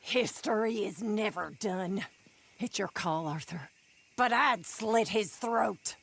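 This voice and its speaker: Creaky, tough frontier woman. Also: mid-range voice, slow. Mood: fierce, vengeful. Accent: American. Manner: blunt, commanding, folksy. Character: tough, vengeful frontier woman, fiercely protective. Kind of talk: dramatic video game acting.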